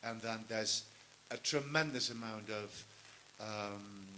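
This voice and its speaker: A man, a film commissioner, speaking on a panel in a halting way with many pauses and restarts. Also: on the low side, bright, forceful tone, a little hiss or hum. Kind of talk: panel talk.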